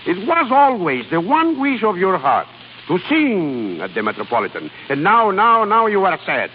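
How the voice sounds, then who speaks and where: A man playing an excitable, fatherly opera mentor in a theatrical, exclamatory 1930s radio style. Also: high voice, normal speed. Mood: concerned, probing. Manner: theatrical, exclamatory, swinging from hushed to emphatic. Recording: muffled noisy 1930s radio broadcast, long reverb.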